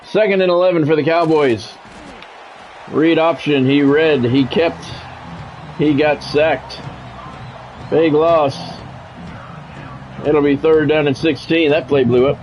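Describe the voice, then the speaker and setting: Male game streamer, fairly high voice, announcer-like clipped play calls mixed with easy viewer chat, music underneath. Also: fairly even intonation, slow, long pauses, dull audio. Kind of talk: sports commentary mixed with casual livestream chat.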